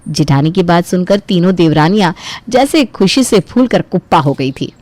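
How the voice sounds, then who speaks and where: A woman's voice: lowish voice, animated, normal speed, a little mellow, no room sound. Woman narrating a story, very dry sound.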